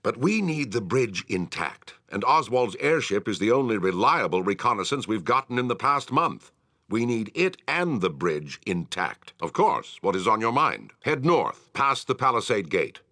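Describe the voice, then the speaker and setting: Casting: a grave fantasy game commander, low-pitched, formal and authoritative, with widely swinging intonation. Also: male voice, big pitch swings, bright, forceful tone, dry recording, clean studio sound, heavily acted. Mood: measured, weighing. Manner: measured, formal, authoritative delivery. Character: grave, commanding male town war leader in fantasy. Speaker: quest-giving military commander. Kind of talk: video game character acting, formal dialogue.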